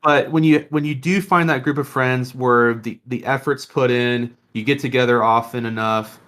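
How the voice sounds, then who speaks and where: A man co-hosting a podcast, talking casually in an earnest, halting, reflective way. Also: mid-range voice, steady tone, fairly bright tone. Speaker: podcast co-host.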